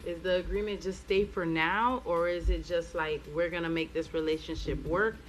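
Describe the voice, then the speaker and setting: A woman co-hosting a relationship podcast in casual chat, answering in a measured, thoughtful way with hedges. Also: on the low side, fairly even intonation, slight background noise. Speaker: podcast co-host.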